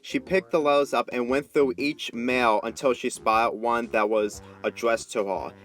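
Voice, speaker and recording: A man's voice: medium pitch, fairly even intonation, unhurried, a bit bright. A man reading a story aloud as scripted narration, now and then stumbling and repeating words. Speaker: fan fiction reader.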